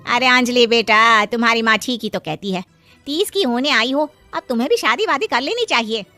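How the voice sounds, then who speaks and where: A woman's voice: a little high, lively intonation, moderate pace, sharp tone, dry recording, soft background music. A woman voicing a meddling, needling female relative in a moral-story cartoon. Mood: nosy, coaxing. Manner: pointed, needling. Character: meddling, taunting women of family and neighbourhood.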